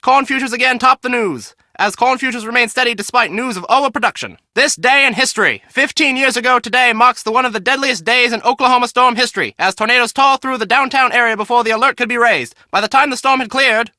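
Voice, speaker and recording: Radio news and weather announcer, extremely high voice, fast, peppy, chirpy, game bulletin. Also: male voice, bright, forceful tone, dry recording, studio quality, full character voice. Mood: brisk, upbeat.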